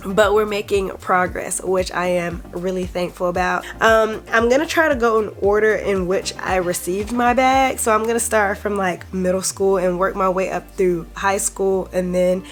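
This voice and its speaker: A woman's voice: mid-range voice, steady tone, normal speed, few pauses, soft background music. A woman in her twenties hosting a casual vlog, sounding congested from a cold and chatting in a rambling way with frequent ums. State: congested, recovering from illness. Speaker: vlog host.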